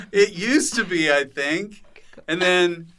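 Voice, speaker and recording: A man's voice: high voice, slow pace, pushed and bright, slight background noise. A man hosting a podcast in comedic casual chat, playful and joking, given to exaggerated outbursts. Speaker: podcast host.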